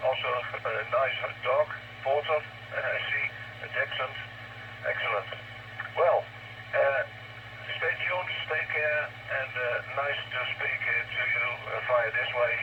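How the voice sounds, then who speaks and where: A man in his sixties with a Dutch accent, chatting haltingly and cordially over a ham radio link. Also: mid-range voice. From Netherlands. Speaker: amateur radio operator. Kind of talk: casual ham radio conversation. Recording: noisy, muffled internet radio link, distant.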